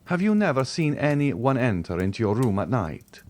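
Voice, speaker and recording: A man voicing a grave, protective middle-aged nobleman who gives solemn, measured warnings. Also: medium pitch, sing-song, a little mellow, pitched above the performer's own voice, natural voice.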